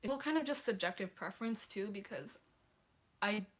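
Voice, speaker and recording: A woman's voice: medium pitch, expressive, moderate pace, slightly rough. A teenage girl hosting a casual livestream, answering viewer questions in a chatty, apologetic way with many hesitations. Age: teens.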